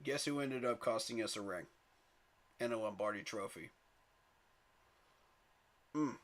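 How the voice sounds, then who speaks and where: A man's voice: fairly low pitch, a little slow. Man pleading on a fan stream. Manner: pleading, emphatic, chuckles at rivals. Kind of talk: live stream commentary.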